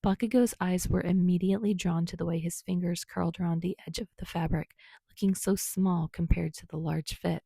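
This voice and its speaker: A woman's voice: lowish voice, gravelly, mellow, breathy edge, no room sound. A woman reading a story aloud as scripted audiobook narration.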